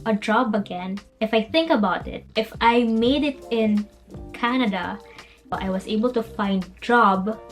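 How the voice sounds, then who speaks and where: A young woman from the Philippines, speaking English as a second language, talking earnestly in a reflective personal vlog and encouraging herself with soft laughs. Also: a little high, measured pace, soft background music. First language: Filipino language. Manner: earnest, self-encouraging, laughs softly between thoughts. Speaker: vlogger.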